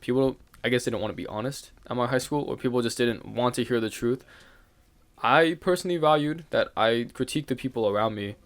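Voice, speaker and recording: A man's voice: mid-range voice, brisk. A young man hosting his channel, telling a personal story in a candid, rambling way, with fillers, swearing and occasional laughs. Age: young adult. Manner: laughs now and then while talking. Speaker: channel host. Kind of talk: casual storytelling rant.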